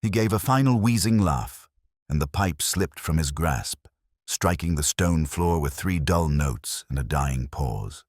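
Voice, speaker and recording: A man reading a dramatic mystery story in a solemn, measured, literary storytelling manner. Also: rumbling low, lively intonation, a little mellow, no room sound, studio quality. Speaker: audiobook narrator. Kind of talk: scripted dramatic mystery narration.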